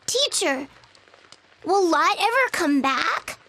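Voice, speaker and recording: A woman's voice: very high voice, big pitch swings, takes it slowly, pushed and bright, a little hiss or hum, pitched above the performer's own voice, full character voice. A woman voicing small eager children who plead and ask questions.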